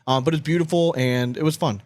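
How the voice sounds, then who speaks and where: A man's voice: on the high side, fairly quick, a little mellow. An American man co-hosting a casual sports podcast, chatting informally with loose, profane banter. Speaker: podcast co-host.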